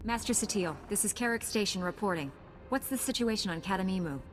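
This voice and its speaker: A woman's voice: medium pitch, quick pace, faint music behind, natural voice. Woman playing a resolute Jedi knight heroine. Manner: direct, resolute. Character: confident, brisk young female Jedi knight hero. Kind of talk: video game cutscene acting.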